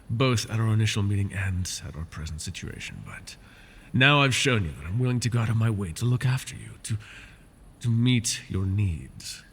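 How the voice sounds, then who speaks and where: A man voicing a wounded male dragon who keeps a human captive, soft-spoken and polite, often slipping into whispers, for an ASMR fantasy roleplay. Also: on the low side, creaky, a little hiss or hum. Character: wounded male dragon, possessive yet doting captor.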